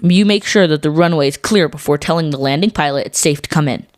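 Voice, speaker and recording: A woman co-hosting a scripted educational podcast for children, explaining things clearly. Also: low-pitched, fast talker, dry recording, studio quality. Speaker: podcast co-host.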